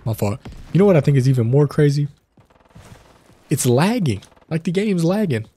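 A man with a stuffy nose from a cold, hosting casual gameplay commentary, chatty and prone to excited outbursts. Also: on the high side, moderate pace, breathy edge. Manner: chatty, addresses viewers as fellas, excited outbursts. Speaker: gaming channel host. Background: faint game gunfire.

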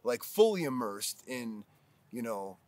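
A man in his thirties chatting casually outdoors, halting and self-deprecating with many restarts. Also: medium pitch, very animated, a little mellow, no echo. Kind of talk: casual chat. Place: outdoors on a hillside terrace.

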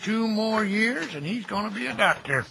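An actor playing a kindly, folksy older cemetery caretaker in an audio drama, chatty and gentle in a homespun, nostalgic way. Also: male voice, sits high, lively intonation, moderate pace, vocal fry, a little hiss or hum, full character voice. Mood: warm, chatty. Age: middle-aged. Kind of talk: naturalistic radio drama dialogue.

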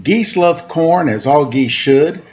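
A man in his forties reads a children's picture book at an unhurried pace. His pitch rises and falls in a sing-song, stretching key words. The recording is dull, made on a webcam in a room at home, with noise underneath. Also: animated. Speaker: storyteller reading aloud to children. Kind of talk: picture book read-aloud with casual asides.

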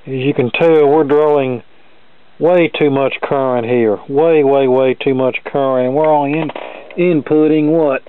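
Even-toned man, narrow phone-like sound. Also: mid-range voice, a little slow, smooth. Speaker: hobbyist radio repair demonstrator. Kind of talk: hands-on repair walkthrough, talking through steps. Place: indoor cluttered electronics workbench.

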